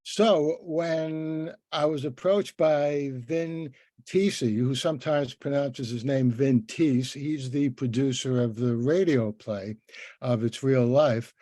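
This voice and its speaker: Casting: older man as interview host, effusive and discursive, with a relaxed dark tone and very dry sound. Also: mid-range voice, slow pace, dry recording. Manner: effusive, rambling. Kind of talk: conversational interview.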